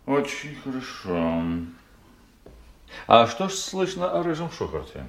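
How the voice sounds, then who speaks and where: An actor playing a condescending, moralizing superior in a radio drama, questioning a subordinate in a pedantic, deliberate way, in an echoey room. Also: male voice, medium pitch, sing-song, vocal fry. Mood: coolly examining. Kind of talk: Russian radio drama acting.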